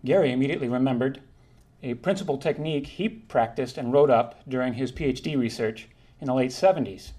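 A man's voice: medium pitch, mellow. Man reading a formal academic talk. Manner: measured, formal delivery with occasional self-corrections. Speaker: linguistics conference presenter.

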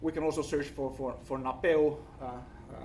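Halting Finnish-accented man presenting, slightly distant. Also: on the high side, little pitch movement, a little mellow. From Finland. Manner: halting, searching for words. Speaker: academic researcher presenting. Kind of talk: conference presentation with slides. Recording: distant mic, faint background noise.

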